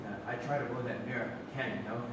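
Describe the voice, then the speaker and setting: A man's voice: medium pitch, steady tone, very fast, lightly acted. Man playing a hesitant local actor, distant, echoey. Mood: uneasy, joking. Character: local male actor, hesitant, superstitious interview guest. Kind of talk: casual radio-interview acting. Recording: echoey, noisy theatre recording from far off.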